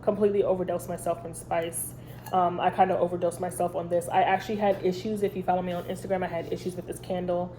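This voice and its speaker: A woman's voice: on the low side, little pitch movement, fast, warm tone, slight background noise, low-quality recording. A woman with a head cold and a stuffy, congested voice, chatting casually as host of a review vlog with lots of ums. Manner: chatty, rambling, many ums. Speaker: candle review channel host.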